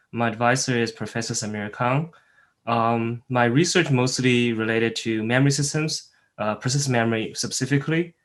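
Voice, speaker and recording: A young man, a doctoral student, introducing himself briefly and plainly on a video call. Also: medium pitch. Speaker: PhD student, panelist. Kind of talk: panel introduction.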